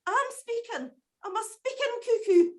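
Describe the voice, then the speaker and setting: A woman's voice: extremely high pitch, unhurried, pitched above the performer's own voice. A woman with a Scottish accent voicing a small, cheerful enchanted talking cuckoo in a told folk tale. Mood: chirpy.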